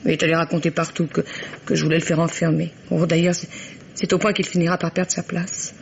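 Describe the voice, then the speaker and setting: An actress playing a frightened, distressed wife in an old French radio mystery, speaking haltingly and repeating her words. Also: on the low side, little pitch movement, gravelly. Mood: pleading. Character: frightened, distressed wife fleeing a violent husband. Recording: dull, noisy vintage radio recording.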